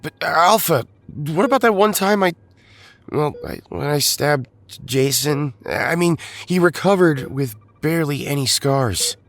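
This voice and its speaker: An actor playing an earnest, hesitant young hero in an audio drama. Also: male voice, a little high, lively intonation, unhurried, gravelly, fairly bright tone, exaggerated voice. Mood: concerned, hesitant.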